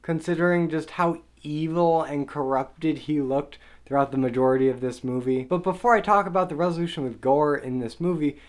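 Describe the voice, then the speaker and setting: A man with a fairly high speaking voice, running a casual film review monologue. He keeps throwing up rhetorical questions and sounds a bit exasperated. He stays conversational and unscripted throughout. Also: fairly quick, few pauses. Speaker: movie reviewer.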